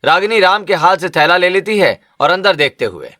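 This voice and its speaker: Bright-voiced man narrating a story. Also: sits high, animated, moderate pace.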